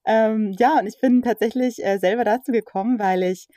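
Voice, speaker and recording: A woman guest in a casual interview, talking in a relaxed, rambling way with many fillers. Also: on the high side, brisk, no echo, studio quality. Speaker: guest, magazine editor.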